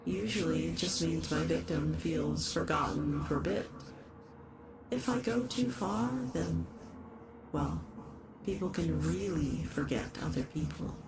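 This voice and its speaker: A performer voicing a lovesick shapeshifting horror in a roleplay monologue, doting and possessive, halting, with soft menace in the endearments. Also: mid-range voice, noisy background, natural voice. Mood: possessive, confessional. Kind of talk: dramatic roleplay monologue addressed to the listener.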